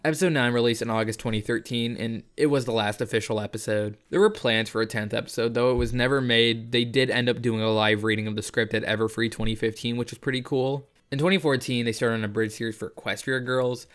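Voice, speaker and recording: A man hosts a casual video essay in an average-pitched voice. He comments in a sardonic, joking manner. His delivery is conversational and peppered with swearing. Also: steady tone, quick pace, few pauses, a bit bright, clear, resonant tone.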